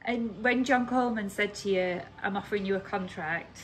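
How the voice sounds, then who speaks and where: A woman's voice: medium pitch, normal speed, slight background noise, rough recording. A woman interviewing a new signing for a football club, asking friendly, encouraging questions.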